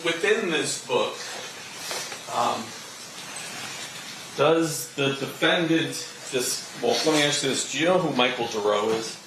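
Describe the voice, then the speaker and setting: An American man questioning a witness as prosecutor in court, halting and feeling for his words. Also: mid-range voice, expressive. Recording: echoey courtroom, distant mic, clear noise.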